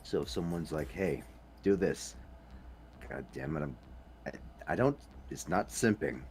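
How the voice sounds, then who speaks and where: A man's voice: very deep voice, animated, a little slow, a little mellow, soft background music. A man with a Scottish accent hosting a casual chat podcast, who slips into accents as he talks. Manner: slips into accents while talking. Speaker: podcast host.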